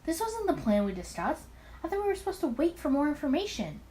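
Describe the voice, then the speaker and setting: A woman's voice: high voice, big pitch swings, fast, warm tone, a little hiss or hum, voice pushed up. Woman reading an anxious, earnest male detective. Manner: bewildered, fretful.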